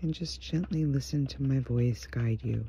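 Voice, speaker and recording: A man leading a guided meditation in a gentle, hushed voice, leaving unhurried pauses between short phrases. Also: mid-range voice. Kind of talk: guided meditation, slow soothing narration. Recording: muffled, dull home recording with faint noise.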